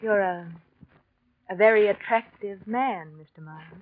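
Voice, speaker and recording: An actress playing a seductive, secretive, self-assured art patron with a coy, measured delivery in a 1940s radio drama. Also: female voice, mid-range voice, no echo, natural voice. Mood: coy, insinuating. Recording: dull lo-fi 1940s radio recording.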